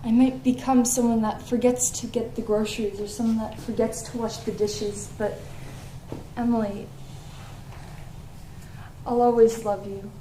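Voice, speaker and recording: A woman's voice: fairly high pitch, level tone, mellow, close to an everyday voice. Woman acting a tender mother, echoey stage. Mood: apologetic, loving. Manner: tender, earnest, measured recitation. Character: loving, forgetful middle-aged mother reading her diary. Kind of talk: dramatic monologue in a one-act play. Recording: echoey stage, background noise.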